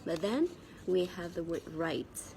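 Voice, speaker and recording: A Vietnamese-accented woman with a cold and sore throat gives a spoken English vocabulary lesson as a teacher, haltingly and repeating key words. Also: fairly low pitch, expressive, gravelly, a little mellow, slight background noise.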